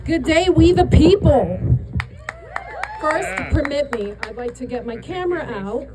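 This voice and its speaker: A woman's voice: high-pitched, expressive, measured pace, noisy background. A middle-aged woman with a New York accent giving a punchy, rousing rally speech outdoors. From New York area. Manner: emphatic, punchy, building to shouts. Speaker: rally speaker.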